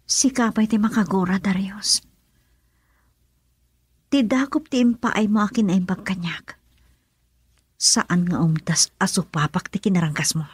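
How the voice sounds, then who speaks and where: A woman's voice: medium pitch, unhurried, pauses often, slightly rough, no room sound. A woman playing an earnest, wounded young woman full of remorse, in radio drama style with a Filipino accent. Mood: bitter.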